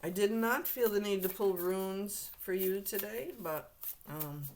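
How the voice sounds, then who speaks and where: A woman doing a casual tarot reading to camera, hoarse and losing her voice, clearing her throat often and speaking to the viewer bluntly and directly. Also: mid-range voice, a little slow, a little mellow, slight background noise. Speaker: tarot reader.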